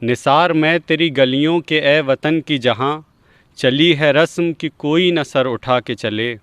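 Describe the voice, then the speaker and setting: Man, poetry reciter, middle pitch, clear smooth voice, unhurried, dry recording. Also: fairly bright tone, dry recording.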